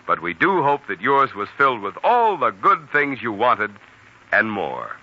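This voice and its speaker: A man's voice: medium pitch, huge pitch swings, a little slow, pushed and bright. An American man announcing for a sponsor on 1940s radio in a polished, jovial, projected way. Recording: dull 1940s radio recording, music behind.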